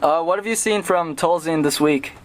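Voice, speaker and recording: A man's voice: fairly high pitch, moderate pace. A man moderating a press conference call and putting informal questions over the phone. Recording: noisy phone conference line, clicks.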